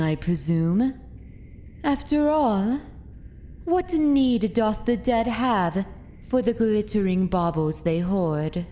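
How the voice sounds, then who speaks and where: A woman's voice: a little high, dark tone, slight background noise. A woman playing a haughty, dominant vampire noblewoman in an ASMR fantasy roleplay, with commanding, archaic formal speech. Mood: sardonic. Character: haughty, dominant aristocratic vampire mistress of a manor.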